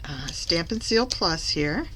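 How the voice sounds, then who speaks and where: A woman recovering from a cough, slightly hoarse, chatting through a papercraft tutorial as she works and correcting herself along the way. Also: fairly low pitch, expressive, slow pace, noisy background. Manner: chatty, self-correcting, talks while working. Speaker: papercraft demonstrator. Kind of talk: live craft tutorial.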